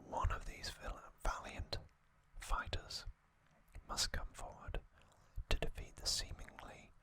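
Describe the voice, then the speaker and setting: An ASMR host speaks entirely in a breathy whisper. The delivery is very slow and deliberate, phrase by phrase with long pauses. The whisper has a crisp, bright edge. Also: rough recording. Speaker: host of an ASMR trivia channel. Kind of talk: ASMR, whispered reading and commentary.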